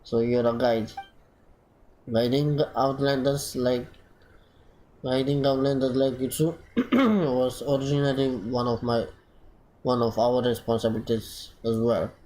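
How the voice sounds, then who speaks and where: A man reading video game dialogue aloud as he plays, halting and repeating phrases as he goes. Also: medium pitch, fairly even intonation, mellow, a little hiss or hum, poor audio. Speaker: gaming streamer. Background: game music.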